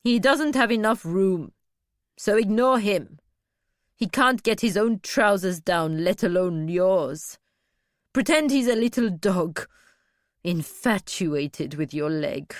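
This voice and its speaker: A woman's voice: medium pitch, measured pace, no room sound, clean studio sound, close to an everyday voice. A woman voicing a cruel, domineering female leader in an audiobook, cold, curt and scornful. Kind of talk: audiobook character voice.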